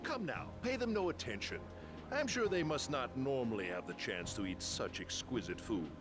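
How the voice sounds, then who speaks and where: A man's voice: medium pitch, sing-song, moderate pace, close to an everyday voice. Man voicing a snooty, lilting rich diner. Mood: condescending. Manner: snooty, smooth condescension. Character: condescending, snobbish well-off male diner. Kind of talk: video game voice acting. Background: game music.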